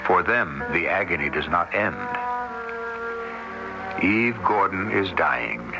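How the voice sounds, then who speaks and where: A man hosting a radio mystery series, narrating in a grave, deliberate, theatrical old-time style. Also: deep voice, lively intonation, low-quality recording. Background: music.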